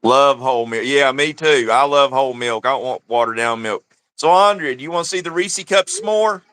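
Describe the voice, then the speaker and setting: A man's voice: medium pitch, normal speed, a bit bright, clear, resonant tone, dry recording. A man co-hosting a livestream, chatting bluntly with crude jokes and frequent laughter. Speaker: livestream co-host. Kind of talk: casual joking commentary.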